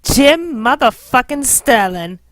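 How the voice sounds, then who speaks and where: A woman's voice: sits high, expressive, slow pace, no room sound. A woman voicing a brash British anime girl in a fan parody, blunt, slangy and foul-mouthed. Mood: exasperated, sweary.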